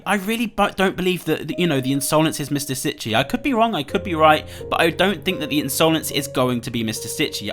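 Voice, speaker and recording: A young man presents a gaming countdown in a very animated, swooping voice. He sounds nasal and stuffed up with a cold as he emphatically addresses his viewers, with music playing underneath. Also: on the high side. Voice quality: nasal, bunged up. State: congested with a cold, sore throat. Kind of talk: upbeat YouTube gaming commentary. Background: background music.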